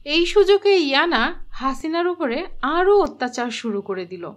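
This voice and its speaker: A high-pitched female narrator reads a scripted fairy tale in storybook fashion. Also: animated, moderate pace.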